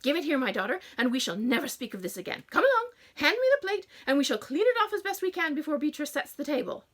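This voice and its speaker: A woman's voice: sing-song, brisk, a bit bright. A woman voicing a fretful, overdramatic middle-aged mother who wails and scolds theatrically. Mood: wailing, dramatic. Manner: shrill theatrical wailing.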